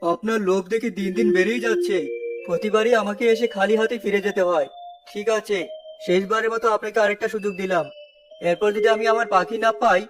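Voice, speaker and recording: Guy doing stern cartoon trader, high voice, fast talker, fed up and demanding. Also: fairly even intonation, close to an everyday voice. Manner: reproachful, demanding. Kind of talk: children's cartoon dialogue. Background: music.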